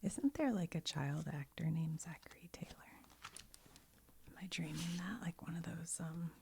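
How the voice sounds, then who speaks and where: A woman whispering softly, mostly unvoiced, while she sorts and reads cards with chatty, giggly asides. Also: low voice, normal speed, gravelly, a little hiss or hum. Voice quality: soft whisper, often unvoiced. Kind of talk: whispered ASMR card sorting.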